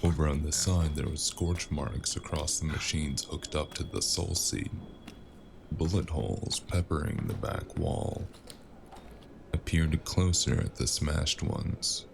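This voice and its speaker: A man acts out a weary noir investigator for an audio drama. His voice is extremely low and full of gravelly fry, and he speaks slowly, with dry, sardonic wit. There is clear noise behind him. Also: exaggerated voice. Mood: brooding, narrating. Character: weary wisecracking noir investigator, a mysterious male stranger. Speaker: narrator and lead character.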